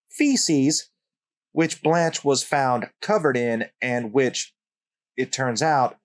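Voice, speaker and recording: A man hosting his own channel, talking through a true story in a relaxed conversational way with wry asides to the viewer. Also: fairly high pitch. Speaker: channel host. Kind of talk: casual true-story narration.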